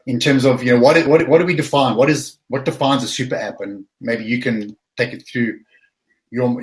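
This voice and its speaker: A man's voice: mid-range voice, rough recording. Middle-aged man moderating an online panel. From South Africa. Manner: conversational, repeats words while thinking.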